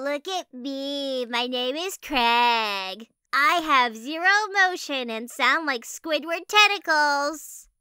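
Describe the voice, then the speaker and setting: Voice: high-pitched, sharp tone, clear, resonant tone, no room sound, clean studio sound, full character voice. A performer voicing a twitchy, nervous cartoon teen boy in a fan dub of comic strips, with yelping outbursts.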